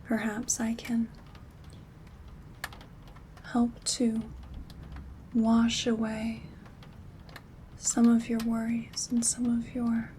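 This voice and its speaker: A gentle witch herbalist, played by a woman, murmurs and whispers as if to a dear visitor in an ASMR roleplay. The voice is slightly high yet soft and dark-edged, and very unhurried. Faint rain and handling noise sit behind it. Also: completely flat delivery. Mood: calm, caring. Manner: soothing, unhurried, intimate. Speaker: ASMR performer. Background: rain sounds, craft rustling.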